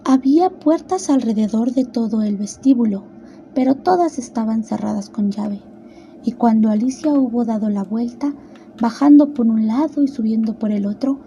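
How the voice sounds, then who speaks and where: A woman narrates a storybook radio drama in a high-pitched voice. The tone is extremely soft and mellow, with faint ambient noise behind her. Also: lo-fi sound.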